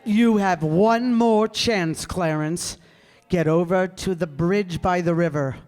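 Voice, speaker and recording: An actor in a radio play as a stern senior angel, measured, admonishing and authoritative. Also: male voice, high-pitched, darkish tone. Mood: measured command. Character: stern, unhurried male senior angel in heaven. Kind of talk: live radio play acting. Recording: stage hall with long reverb.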